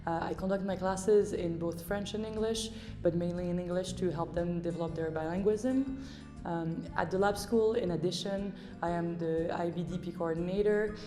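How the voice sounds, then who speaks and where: A woman science teacher giving a calm, earnest self-introduction. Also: fairly low pitch, moderate pace, faint music behind. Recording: echoey hall with long reverb.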